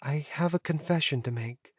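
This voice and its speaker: Man voicing a soft, higher-pitched earnest young werewolf woman. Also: normal speed, husky, no echo, dull audio. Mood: confessing, pleading. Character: earnest young female werewolf, vulnerable, pleading.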